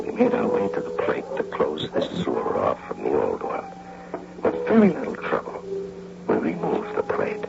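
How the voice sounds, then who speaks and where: An actor playing a wry amateur bank robber who narrates his own heist theatrically, with dramatic pauses, in old-time radio drama style. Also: sits high, creaky, heavily acted. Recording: noisy, muffled radio drama recording.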